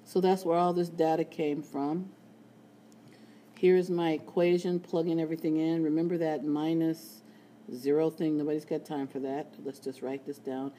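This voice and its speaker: Raspy low-voiced woman teaching statistics. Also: flat delivery, normal speed, dark tone, slight background noise. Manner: chatty, playful asides. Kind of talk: screencast math lesson. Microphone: tablet screen recording.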